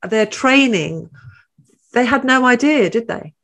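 A woman's voice: fairly high pitch, lively intonation, a little slow, fairly bright tone. A woman hosting a panel discussion. She talks in a chatty way and often asks leading questions. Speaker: host.

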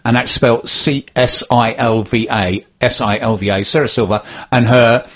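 A man's voice: mid-range voice, fairly even intonation, moderate pace, highs cut off. A man hosting a local radio show, reading announcements and tweets in a rambling, good-humoured way. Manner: warm-hearted, rambling, stumbles over words. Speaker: radio host. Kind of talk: casual radio chat with announcements.

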